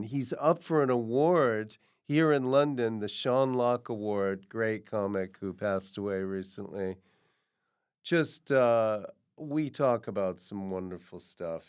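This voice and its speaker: A middle-aged man hosts a laid-back comedy interview, tossing out absurd jokes with a straight face. His voice is smooth and clean, sitting at an ordinary middle pitch. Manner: playful, absurd deadpan jokes. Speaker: podcast host.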